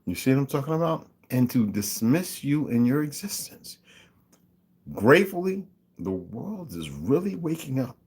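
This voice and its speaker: A man's voice: fairly low pitch, darkish tone, slightly rough. A man hosting his own channel, talking through his views in a casual monologue, with emphatic asides and listing figures aloud. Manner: emphatic, mocking interjections; reads figures aloud, asks listeners to follow along. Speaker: channel host.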